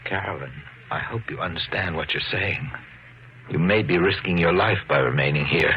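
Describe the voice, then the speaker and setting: An old, band-limited radio recording with an actor playing a low-voiced, brooding husband. The man speaks with bitter, ominous calm in the manner of a 1950s mystery drama. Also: normal speed, highs cut off, a little hiss or hum, natural voice. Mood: foreboding.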